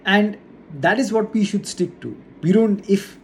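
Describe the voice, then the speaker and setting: A YouTuber from India talking earnestly and casually to his audience, with a measured pace and frequent "uh" fillers. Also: high voice, slight background noise. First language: not Hindi; English not first noted. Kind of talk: casual talk to camera. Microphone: studio setup.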